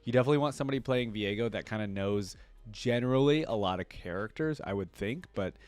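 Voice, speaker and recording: A man's voice: lowish voice, fast, a little mellow, no echo. A man hosting a reaction video with casual commentary, rambling as he thinks aloud.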